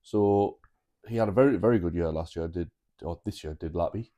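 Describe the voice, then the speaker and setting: A man co-hosting a podcast, talking in a relaxed, casual way with many repeated words. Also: deep voice, fairly quick, muffled recording. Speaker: podcast co-host. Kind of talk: casual chat.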